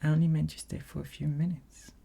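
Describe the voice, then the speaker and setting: A woman's voice: deep voice, flat delivery, fast, vocal fry, slightly rough, pitched above the performer's own voice, exaggerated voice. A woman voicing a kind, earnest young girl, lifting her voice and often whispering. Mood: startled. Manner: soft whispery reading of a child's pleas.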